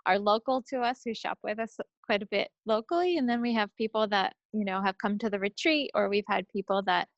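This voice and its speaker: A woman's voice: medium pitch, fairly quick, hardly any pauses, dry recording. A woman chatting casually as a friendly podcast co-host, speaking unscripted on slightly lo-fi audio. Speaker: co-host of a knitting and crafts podcast.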